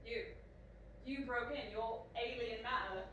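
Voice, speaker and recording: Woman acting a high-voiced, wry young-sounding woman, roomy. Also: unhurried, bright, forceful tone, highs cut off. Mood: uncertain, probing. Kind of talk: audio drama acting, narration and dialogue. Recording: roomy, distant, faint noise.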